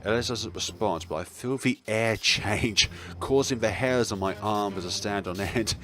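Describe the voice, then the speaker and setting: A man's voice: fairly low pitch, moderate pace, soft background music. A man hosting a let's play, reading a visual novel aloud expressively with casual commentary of his own. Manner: expressive, acts out dialogue, chuckles and stumbles over words.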